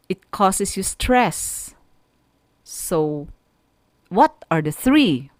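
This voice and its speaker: A woman with a Filipino accent teaching a radio lesson to students in a deliberate, didactic manner, often putting questions to the listener. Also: lowish voice, darkish tone, no echo, clean studio sound. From Philippines.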